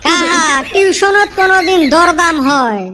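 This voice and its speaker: A man voicing a boastful young village tutor in a comic cartoon, with a North Bengal accent and a raised-pitch effect. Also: measured pace, heavy background noise. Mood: haggling. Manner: boastful, argumentative. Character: boastful young male village tuition master.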